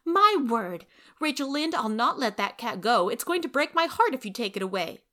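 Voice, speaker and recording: Woman as a high-pitched, feisty orphan girl. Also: very animated, moderate pace, pushed and bright. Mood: indignant. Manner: impulsive, indignant, easily flustered. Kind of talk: storybook character reading.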